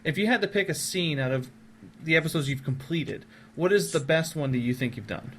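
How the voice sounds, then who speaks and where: A man's voice: a little high, lively intonation, fairly quick, fairly bright tone, slight background noise. A man hosting a casual podcast, talking in an effusive, wordy way as he interviews his guests.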